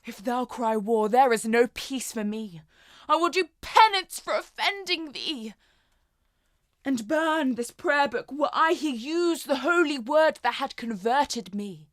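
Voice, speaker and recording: Woman acting an anguished, high-voiced Elizabethan tragic lover. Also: lively intonation, measured pace, a bit bright, no echo, studio quality. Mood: desperate, pleading. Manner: impassioned, declamatory. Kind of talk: classical stage monologue.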